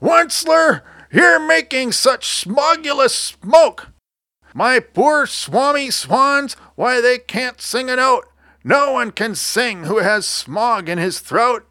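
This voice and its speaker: Storybook creature, old and indignant, high-pitched, croaky, scolding, pleading, close dry sound. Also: male voice, expressive, slow, pushed and bright, no room sound, full character voice. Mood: outraged.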